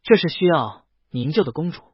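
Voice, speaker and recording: A woman voicing a shy, naive, obedient young dragon boy with a husky voice in a comic audio story. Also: sits low, expressive, brisk, no room sound, muffled recording, pitched below the performer's own voice, heavily acted.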